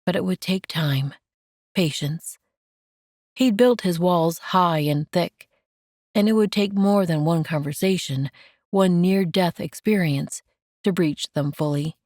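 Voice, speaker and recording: A woman reads romance fiction as an audiobook narrator. Her voice is a little low and her delivery is slow, gentle and measured. The sound is very dry, with no room around it. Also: no echo.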